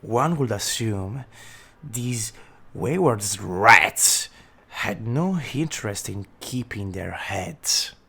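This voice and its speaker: A man as a husky, very slow, sinister gangster. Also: on the low side, animated, sharp tone, exaggerated voice. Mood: darkly amused. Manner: formal, theatrical, drawn-out. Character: sinister, courteous male mafia enforcer, darkly amused. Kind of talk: audio roleplay acting.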